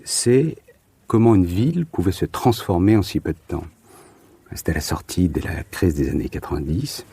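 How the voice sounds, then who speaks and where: A man in his forties from southern France with a low, dark voice and a husky grain. He answers as an interview guest in a conversational way, pausing to find words and repeating them for emphasis. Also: no room sound. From Marseille, southern France.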